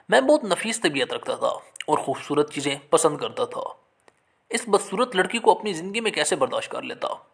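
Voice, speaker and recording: Male storyteller, slightly high-pitched and very expressive in intonation, for emotional first-person dramatic narration. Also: vocal fry.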